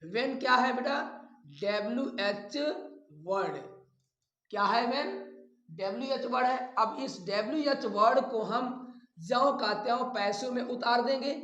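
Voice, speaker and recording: Grammar teacher, North Indian accent, unhurried and instructive, mid-pitched voice with question-and-repeat delivery. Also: fairly bright tone, dull audio. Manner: instructive, repeats phrases and poses questions to students. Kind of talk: explanatory grammar lesson. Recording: roomy, echoey sound.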